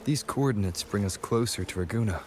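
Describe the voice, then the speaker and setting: A man playing a serious, guarded, level-headed hero in a video game, speaking in a measured, firm, matter-of-fact way. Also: fairly low pitch, a little mellow, a little hiss or hum, close to an everyday voice.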